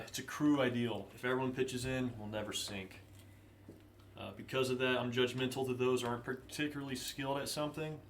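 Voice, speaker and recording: A man's voice: mid-range voice, a little hiss or hum. A man playing a tabletop game, casually describing his character with hesitant fillers. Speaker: player.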